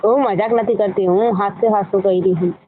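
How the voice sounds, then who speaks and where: Female caller, mid-pitched, short insistent casual replies, thin phone-band sound. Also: fairly even intonation, fairly quick, slight background noise. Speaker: caller, admirer. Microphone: phone call. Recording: tinny narrow-band phone line.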